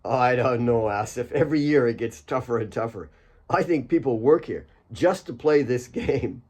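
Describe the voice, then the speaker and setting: A man's voice: mid-range voice, expressive, normal speed, a little mellow, husky. A man voicing a modest, good-humoured young man in an audiobook, hesitant and wry.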